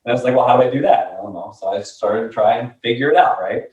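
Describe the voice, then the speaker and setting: A man's voice: mid-range voice, fast, a little mellow. A man in his late thirties telling stories in a laid-back, rambling way with lots of fillers, recorded off-mic in a room. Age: 30s. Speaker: band member. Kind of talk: casual chat. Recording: roomy, distant, dull mic.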